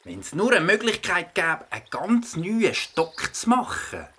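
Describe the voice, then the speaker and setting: Man playing a bright, husky, eager young boy. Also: high voice, huge pitch swings, unhurried, exaggerated voice. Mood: thoughtful, concerned. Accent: Swiss German. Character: helpful, inventive, optimistic young boy, beaver's friend. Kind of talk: children's audio play acting.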